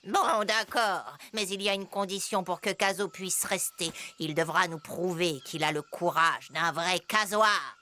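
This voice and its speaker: Sharp, haughty cartoon cassowary. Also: female voice, lowish voice, measured pace, hardly any pauses. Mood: menacing. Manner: scornful, threatening, imperious. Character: haughty, grumpy, bossy cassowary rival. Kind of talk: cartoon dub acting. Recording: light music behind the voice.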